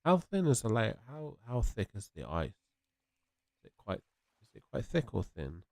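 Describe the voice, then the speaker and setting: A man's voice: medium pitch, wildly swooping pitch, unhurried, darkish tone, dry recording, studio quality. A young man with a congested, sniffly voice, rambling casually with viewers while streaming a game. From England. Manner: rambling and chatty, answering viewers. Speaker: gaming streamer. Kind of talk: casual livestream chat while playing.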